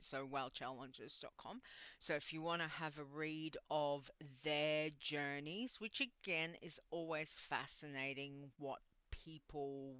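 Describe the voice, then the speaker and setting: A woman's voice: low-pitched, fairly bright tone, clear, resonant tone, dry recording, highs cut off. A woman hosting a podcast in casual, rambling chat, sounding a bit congested and nasal. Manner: rambling and chatty, with laughs. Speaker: podcast host.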